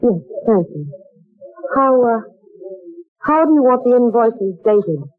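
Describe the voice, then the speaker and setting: An earnest young secretary. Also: female voice, a little high, sing-song, slow pace, no echo, faint music behind. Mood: polite, earnest. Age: 20s. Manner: polite and eager, saying "sir" often. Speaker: job applicant. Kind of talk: 1940s radio acting. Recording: tinny old radio broadcast, dry.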